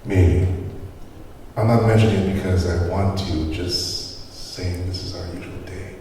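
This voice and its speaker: A subdued, apologetic husband played by a man talks in a deep, mellow voice. He is far from the mic in a very echoey space. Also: measured pace. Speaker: actor in a script table read. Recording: very echoey hall, distant mic, faint noise.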